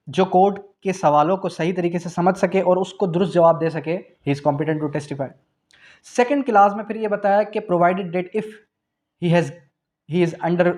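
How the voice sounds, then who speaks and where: Male law lecturer, Pakistani accent, slightly high voice, didactic delivery built on self-answered questions and repetition. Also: a little mellow. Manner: repeats key phrases for emphasis; switches between Urdu and English terms. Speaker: law teacher. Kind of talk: exam revision lecture.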